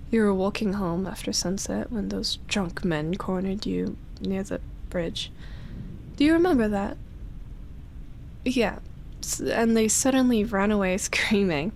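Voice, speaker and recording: Slow, seductive vampire woman, acted by a woman. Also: medium pitch, a little hiss or hum. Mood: darkly confiding. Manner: breathy teasing, soft sighs and chuckles, whispered phrases. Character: seductive, obsessive yet tender immortal female vampire. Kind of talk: intimate roleplay ASMR audio drama.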